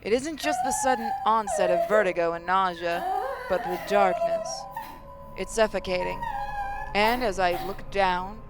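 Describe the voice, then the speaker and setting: A woman with a mid-range voice reads a visual novel aloud as a gaming host. Her delivery is theatrical with casual touches, and music plays clearly behind her. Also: mid-range voice, poor audio. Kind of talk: dramatic first-person story reading with casual asides. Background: game music.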